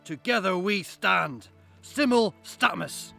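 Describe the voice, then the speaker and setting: Solemn Northern Irish headmaster, music behind. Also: male voice, high-pitched, lively intonation, creaky, bright, forceful tone, heavily acted. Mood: impassioned. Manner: oratorical, earnest, measured. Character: solemn, rousing male headmaster of a post-apocalyptic school. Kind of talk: audio drama acting.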